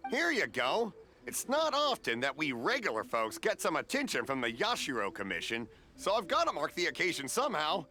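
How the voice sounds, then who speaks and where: A jovial, generous middle-aged festival vendor in a game, chuckling through his banter. His voice is high and bright for a man and swings widely in pitch, with faint music behind. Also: huge pitch swings, brisk, full character voice. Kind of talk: video game voice acting.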